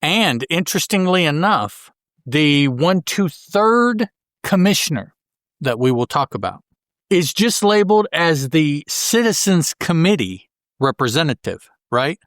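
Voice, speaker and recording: A man co-hosting a podcast, casually discussing a comic book story and thinking aloud in a halting way. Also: on the high side, big pitch swings, a bit bright, dry recording, studio quality. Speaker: podcast co-host.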